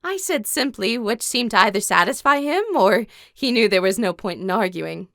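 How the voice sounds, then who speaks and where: A woman's voice: mid-range voice, animated, normal speed, sharp tone, no room sound, studio quality. A woman playing a composed, caring teenage warrior girl, narrating an audio drama in the first person and speaking her dialogue. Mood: thoughtful, reassuring.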